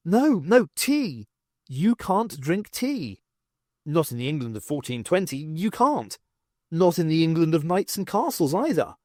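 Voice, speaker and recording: Man voicing a sharp, insistent woman, pitched up. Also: sing-song, unhurried, no echo, clean studio sound. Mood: urgent, emphatic. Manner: emphatic, questioning.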